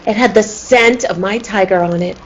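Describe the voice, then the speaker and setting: A woman playing a scheming, nervous, murderous circus tiger tamer in a radio play, with a halting way of talking. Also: medium pitch, lightly acted. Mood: cold, menacing. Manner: halting, stammering, repeating words. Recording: muffled, lo-fi audio with noise.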